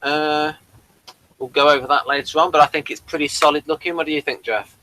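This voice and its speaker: A man hosting a TV review show in casual, unscripted chat, with a rambling, halting delivery. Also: on the high side, fairly even intonation, pushed and bright, low-quality recording.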